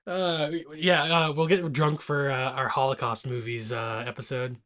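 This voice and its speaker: A man's voice: medium pitch, flat delivery, measured pace, bright, forceful tone. A man co-hosting a movie podcast, chatting casually in a loose, rowdy, irreverent way, a little tipsy from beer. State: tipsy after a couple of beers. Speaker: podcast co-host.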